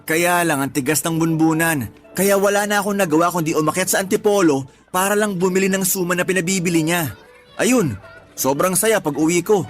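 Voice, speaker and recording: A man's voice: sits high, brisk, soft background music, natural voice. An actor playing an exasperated husband of a pregnant woman in a radio drama, complaining in an emphatic, colloquial way. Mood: weary grumbling. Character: exasperated young husband of a pregnant, craving partner. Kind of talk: Tagalog radio drama acting.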